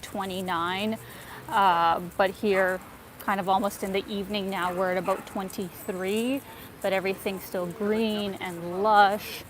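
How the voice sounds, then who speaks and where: A woman from North America hosts a casual travel livestream, filmed on a phone as she walks through a city square and chats with her viewers, with fillers and soft laughs. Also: medium pitch, a little hiss or hum. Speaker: travel vlogger livestream host. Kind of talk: casual livestream chat while walking. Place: outdoors in a city square and park. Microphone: phone held while walking.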